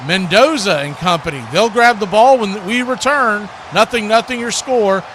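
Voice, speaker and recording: A man with a fairly high voice gives live radio play-by-play of a football game. He works as the announcer, describing the action as it happens. Clear background noise sits behind his voice. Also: normal speed.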